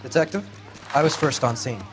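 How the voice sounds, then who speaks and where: Male actor for a matter-of-fact policeman in a detective game, middle register, fast and dutiful delivery. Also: medium pitch, animated, lightly acted. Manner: plain, brisk, dutiful. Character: matter-of-fact male uniformed patrol officer. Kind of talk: 1940s detective game acting.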